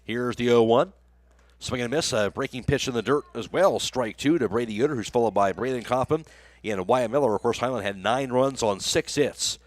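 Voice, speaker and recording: A man's voice: mid-range voice, a little fast, dry recording. An American man doing live radio play-by-play of a baseball game as the announcer. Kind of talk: live sports commentary.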